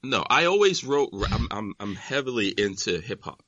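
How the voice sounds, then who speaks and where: A man in his forties, born in Guyana, talking as a guest in a relaxed, rambling way full of "you know". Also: medium pitch, moderate pace, no echo, highs cut off. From born in Guyana, raised in England and Nigeria. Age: 40s. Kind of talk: casual conversation.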